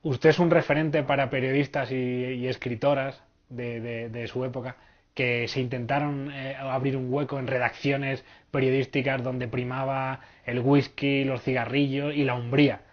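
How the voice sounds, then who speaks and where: A man interviewing a guest on TV, asking measured, formal questions. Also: mid-range voice, little pitch movement, lo-fi sound.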